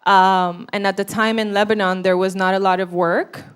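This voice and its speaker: A woman's voice: mid-range voice, little pitch movement, moderate pace, clear, resonant tone. A young adult woman from Brazil, telling her personal story as a guest in an interview.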